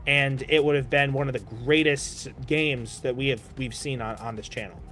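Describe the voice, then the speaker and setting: A man's voice: mid-range voice, steady tone, moderate pace, fairly bright tone. A man casting a live esports stream, recapping results in a chatty way full of "you know.". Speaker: esports caster.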